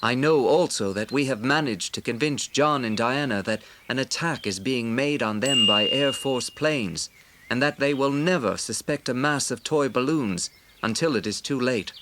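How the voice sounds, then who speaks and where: Resolute male alien rebel, acted by a man, noble and formal, mid-range pitch, storybook drama. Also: a bit bright, lightly acted. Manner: formal, measured wording, earnest. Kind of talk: dramatised storybook audio acting.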